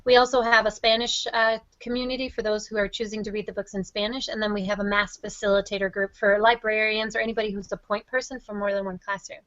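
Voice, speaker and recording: A woman's voice: medium pitch, flat delivery, fast talker, hardly any pauses, a bit bright, no echo. Enthusiastic woman, dull lo-fi audio. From Wisconsin, United States. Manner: enthusiastic, effusive. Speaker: interview guest, teacher and reading project founder. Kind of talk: conversational interview answers.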